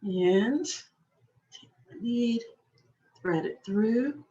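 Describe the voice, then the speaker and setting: Slow, patient woman teaching a craft. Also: on the high side, warm tone, highs cut off. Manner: patient, chatty, talks while working with her hands. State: slightly hoarse. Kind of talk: slow step-by-step craft demonstration.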